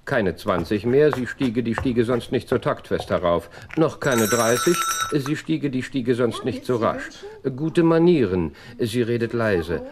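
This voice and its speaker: An actor playing a sharp, brilliant, self-assured detective in a German radio play, speaking precisely and theatrically. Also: male voice, lowish voice, noisy background, lightly acted.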